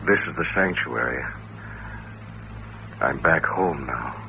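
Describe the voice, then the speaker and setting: An actor playing a bitter, lonely mutant with hypnotic powers in an old-time radio drama, intense and commanding. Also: male voice, a bass voice, lively intonation, slow pace, gravelly, muffled recording, exaggerated voice. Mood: resigned, commanding. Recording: noisy, band-limited vintage radio recording.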